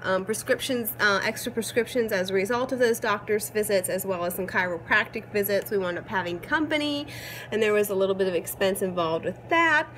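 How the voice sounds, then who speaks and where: A woman in her thirties, hoarse from a cold, chatting casually and upbeat with lots of ums as she vlogs from home. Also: mid-range voice, fairly quick, fairly bright tone, a little hiss or hum. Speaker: vlogger. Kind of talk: casual chat. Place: at home, changed rooms.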